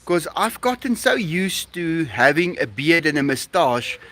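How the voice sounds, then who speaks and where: A man's voice: a little high, big pitch swings, unhurried, background noise. A man from South Africa with an Afrikaans accent, chatting casually on his live stream, laughing often and playing with his dogs. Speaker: livestreamer.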